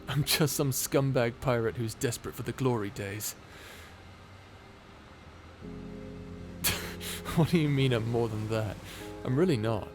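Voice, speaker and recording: A man acting a nervous, unhurried pirate captain. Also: medium pitch, lightly acted. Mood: self-deprecating, caring. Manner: hesitant, nervous laughter between phrases. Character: flirty, self-deprecating adult male space pirate captain in love. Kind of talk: intimate ASMR roleplay acting. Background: music.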